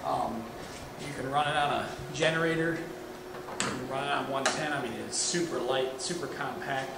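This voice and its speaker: Gravelly middle-aged man over loud fan noise. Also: mid-range voice, steady tone, normal speed. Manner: informal, plain-spoken, practical. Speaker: product reviewer, welding demonstrator. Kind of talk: casual hands-on product demonstration. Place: indoor workshop demo area with hanging curtains. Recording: echoey room, loud constant fan hum. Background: machine fan and ventilation noise.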